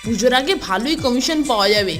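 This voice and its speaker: A woman's voice: fairly high pitch, lively intonation, fast, fairly bright tone. A woman voicing a cheeky, grumbling young boy who works as a little salesman in a children's cartoon. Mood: eager. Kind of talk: Bengali children's cartoon voice acting. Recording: music bed behind the voice.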